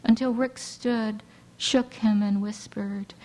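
A woman poet raised speaking Hawaiian Pidgin English, giving a measured reading of her poems with halting asides between them. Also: mid-range voice, fairly even intonation, mellow, rough recording. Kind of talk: poetry reading to an audience.

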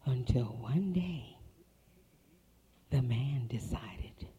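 A woman's voice: rumbling low, lively intonation, very slow, dark tone, husky, lo-fi sound. A woman telling folk tales to an audience, dramatic, dropping into whispers for effect. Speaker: storyteller. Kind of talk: folk tale storytelling performance.

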